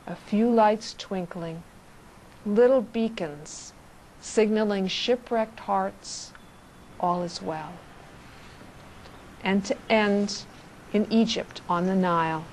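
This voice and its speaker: A woman gives a poetry reading at a slow, measured pace. Her pitch sits in the middle range and her phrasing is short and reflective. Light ambient noise runs behind her voice. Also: low-quality recording. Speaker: poet reading own work.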